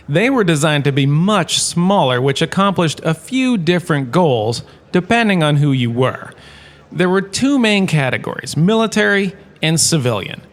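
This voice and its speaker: Guy playing a quirky male professor in a comedy audio drama, kinda high voice, chatty and showy, really into his lecture. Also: lightly acted. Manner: enthusiastic, chatty, showy asides. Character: washed-up holodrama star turned enthusiastic, quirky university lecturer.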